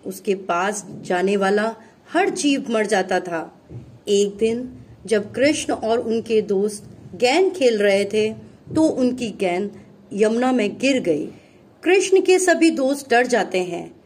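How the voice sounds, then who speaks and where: Female storyteller for a children's puppet show: scripted narration, slow delivery, mid-range pitch. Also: animated, a little mellow, a little hiss or hum.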